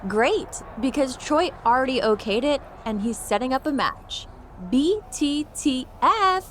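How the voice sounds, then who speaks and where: A woman's voice: high-pitched, expressive, unhurried, fairly bright tone, a little hiss or hum. A woman playing a vain, self-absorbed young pro wrestler in a video game, breezy and gushing with a sugary passive-aggressive edge. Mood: gushing, confident. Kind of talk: video game cutscene acting.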